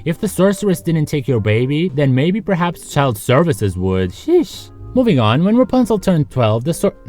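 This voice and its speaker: A man's voice: fairly high pitch, big pitch swings, moderate pace, a little mellow, faint music behind. A man narrating a comedic scripted video as its host, sarcastic and conversational, with joking asides to the viewer.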